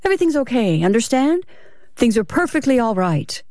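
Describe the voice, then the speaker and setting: A woman's voice: on the high side, very animated, a little fast, a little mellow, no room sound, studio quality, voice pushed up. Woman acting nervous, evasive husband, placating. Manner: placating, careful, faltering. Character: nervous, evasive husband lying to his suspicious wife.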